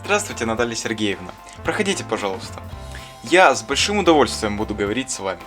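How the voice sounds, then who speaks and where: A man playing a wise, kindly schoolteacher in an educational cartoon, telling things in a measured, courteous, didactic way. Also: lowish voice, sing-song, a bit bright. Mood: kindly, reflective. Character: wise, kindly male schoolteacher who loves gardening. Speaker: teacher. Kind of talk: scripted storytelling in an educational cartoon. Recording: music behind the voice.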